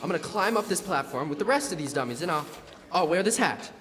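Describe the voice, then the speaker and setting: An earnest, excitable teenage boy played by a male actor with a fairly high, bouncing voice. The radio-drama delivery echoes in a stage hall. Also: quick pace. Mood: flustered. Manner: earnest, excitable, gee-whiz. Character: eager, flustered all-American teenage boy. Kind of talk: live classic radio drama acting.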